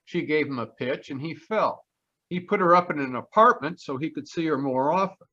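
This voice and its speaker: A man's voice: fairly high pitch, lively intonation, normal speed, sharp tone. A man playing a confident, wry private detective in an old-time radio drama, speaking in a clipped, assured way. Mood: assured, deducing. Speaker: actor. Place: live online video call. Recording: slightly dull video-call audio.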